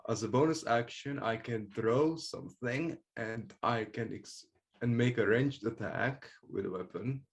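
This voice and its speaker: A man speaking casually and haltingly as a player in a tabletop game, working through his moves. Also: mid-range voice, gravelly.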